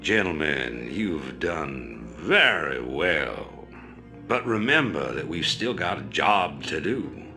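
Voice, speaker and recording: A ruthless rebel leader in a game cutscene, cold and menacing. His voice is extremely deep and bright-edged, and he speaks slowly with a lively rise and fall. Faint music plays behind him. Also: heavily acted. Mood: commanding. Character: ruthless middle-aged male rebel leader. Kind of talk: video game cutscene acting.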